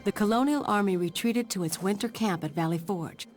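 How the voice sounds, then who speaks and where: Female game narrator, acted as a daughter recounting history: mid-range, solemn, measured, over a prominent score. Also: medium pitch, music in the background, natural voice. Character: reflective daughter narrating her father's war deeds. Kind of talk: scripted game cutscene narration.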